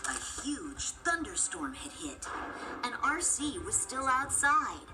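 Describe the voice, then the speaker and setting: A woman narrating a children's story as an expressive scripted read-aloud. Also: a little high, slow pace, music in the background.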